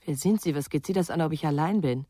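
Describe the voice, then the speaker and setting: Worried blunt woman, low husky voice, dry close sound, curt questioning, radio crime drama. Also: fast talker, mellow, no echo. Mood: guarded, curt. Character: wary, worried, blunt woman with a missing nephew.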